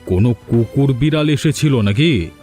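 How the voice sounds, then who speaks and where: A man's voice: mid-range voice, expressive, normal speed, mellow, soft background music, close to an everyday voice. A man voicing a grumpy, worried village shopkeeper husband in a cartoon, bossy and resigned. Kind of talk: cartoon dialogue acting.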